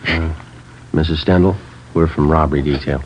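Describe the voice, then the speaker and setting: A businesslike American police sergeant with a very low voice. He talks in clipped, deadpan phrases, as in a 1950s radio crime show. The old recording is muffled. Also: moderate pace, slight background noise. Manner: deadpan, clipped, matter-of-fact. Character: terse, businesslike male police detective sergeant.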